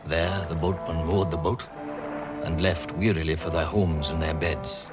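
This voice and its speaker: A man with a low voice narrates a vintage radio drama. He reads scripted storytelling prose, with loud music playing behind him. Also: steady tone, unhurried. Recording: vintage radio recording under prominent music.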